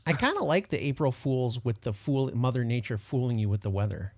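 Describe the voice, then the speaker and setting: Animated laughing male podcast host. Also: medium pitch, a little fast, dry recording. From Detroit. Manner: laughing, excitable storyteller. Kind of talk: casual chat.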